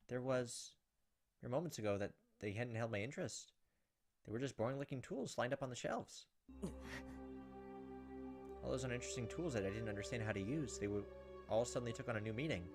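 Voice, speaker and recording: A man reading a visual novel aloud as a let's player, telling it dramatically and acting out the lines. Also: medium pitch. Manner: expressive, storytelling, acts out the lines. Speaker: video game let's player. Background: game music.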